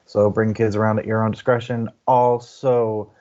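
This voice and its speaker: A man's voice: on the low side, flat delivery, normal speed, warm tone, muffled recording. A man co-hosting a book discussion stream in an informal, conversational way. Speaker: podcast co-host. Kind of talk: casual book-chat livestream hosting.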